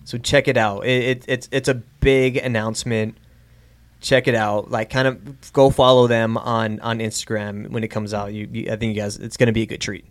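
A man's voice: lowish voice, level tone, normal speed. A man hosting a casual comedic podcast, rambling and repeating himself as he goes. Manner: rambling, repeats phrases, prone to laughing. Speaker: podcast host.

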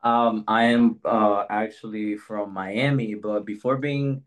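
A young man from Spain co-hosting a relaxed student talk show. His voice sits low, and he speaks earnestly and thoughtfully, pausing with fillers as he goes. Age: young adult. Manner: earnest, reflective, pauses with fillers. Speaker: co-host. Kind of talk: casual chat.

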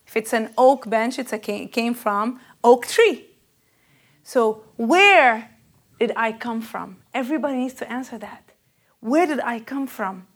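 Earnest woman preacher, rather high voice. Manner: intense and earnest, rising and louder on key questions; hesitant fillers, searching for words. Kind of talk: spoken sermon.

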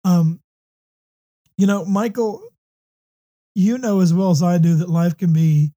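Hoarse man with a bright high voice. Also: fairly even intonation, unhurried. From United States. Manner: earnest, many ums and restarts. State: hoarse with a runny nose. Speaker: podcast host. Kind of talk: faith talk show discussion.

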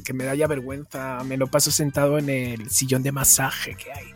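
A man with an accent from Spain chatting casually as a podcast co-host, telling stories in an animated, self-mocking way. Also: mid-range voice, moderate pace, over loud music. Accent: Spanish from Spain. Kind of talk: casual comedic chat.

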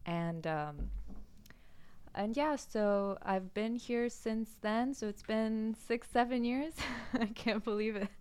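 A woman's voice: a little high, takes it slowly, darkish tone, clear, resonant tone. A woman in her twenties from Lebanon, a medical student guest on a podcast, easygoing and giggly in casual talk. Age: 20s. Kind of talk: casual interview chat.

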